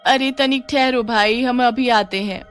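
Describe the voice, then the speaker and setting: A woman's voice: fairly high pitch, unhurried, faint music behind, pitched above the performer's own voice. A woman playing a rustic, easily alarmed wife with an in a folktale. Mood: puzzled. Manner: exclaiming, animated. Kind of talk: dramatized Hindi horror folktale.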